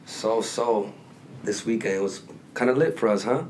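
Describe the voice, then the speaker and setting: A man's voice: lowish voice, unhurried, darkish tone, poor audio. A young man hosting a casual podcast, laid-back with loose slang. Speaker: podcast co-host. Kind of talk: casual chat.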